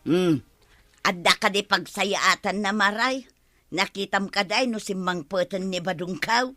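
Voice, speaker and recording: Bright, plaintive village woman. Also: mid-range voice, unhurried, dry recording, clean studio sound. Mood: grumbling. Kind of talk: Ilocano comedy radio drama acting.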